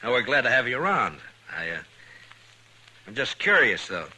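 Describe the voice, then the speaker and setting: An actor playing a firm, concerned frontier marshal in a 1950s radio western, plainspoken and probing. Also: male voice, medium pitch, big pitch swings, normal speed, pushed and bright, husky, full character voice, poor audio. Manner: plainspoken, hesitant lead-ins, probing questions. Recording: old 1950s radio recording, faint noise.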